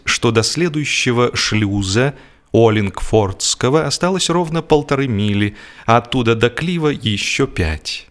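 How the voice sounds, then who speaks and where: A man narrating a humorous literary story as an audiobook reader, with expressive delivery. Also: mid-range voice. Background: music behind the voice.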